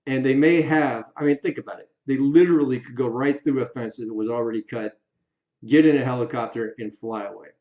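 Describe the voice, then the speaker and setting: A man giving opinion commentary for an online video, speaking emphatically and deliberately in short, separated phrases. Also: mid-range voice. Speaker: YouTube video creator. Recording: roomy echo, slightly dull sound.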